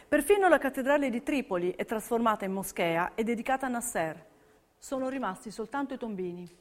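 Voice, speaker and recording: An actress narrating a documentary stage play in an emphatic, scripted, theatrical style. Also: female voice, a little high, lively intonation, brisk, close to an everyday voice. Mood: solemn.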